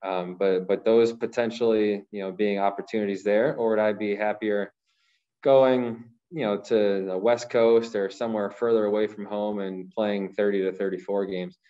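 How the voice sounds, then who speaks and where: Deep-voiced masked goalkeeper on a video call. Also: male voice, fairly even intonation, mellow. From Ohio. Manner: measured, repeats words while thinking, many fillers. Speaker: professional goalkeeper. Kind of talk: press conference answers. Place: small room, branded backdrop. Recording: slightly dull lo-fi audio.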